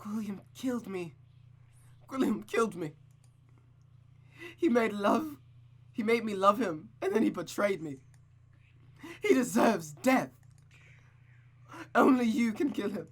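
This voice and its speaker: Woman acting a high, vengeful betrayed friend. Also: animated, slow, pauses often, husky. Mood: vengeful insistence. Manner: insistent, emphatic. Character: betrayed dead female best friend, insistent and vengeful.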